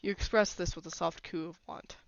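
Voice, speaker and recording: A woman's voice: fairly low pitch, fairly even intonation, fairly quick, no room sound. A woman reading a fan fiction story aloud as scripted narration, on a slightly dull recording.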